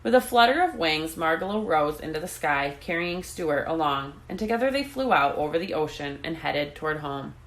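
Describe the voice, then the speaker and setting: A woman reading a children's book aloud in an expressive narrating style. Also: low voice, normal speed, few pauses. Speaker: storybook reader.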